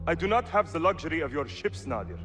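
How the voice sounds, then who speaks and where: An actor playing an anxious, cowardly villain general in a video game, nervous and fretful. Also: male voice, fairly high pitch, expressive, fast talker, music in the background, natural voice. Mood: fearful. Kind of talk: video game cutscene acting.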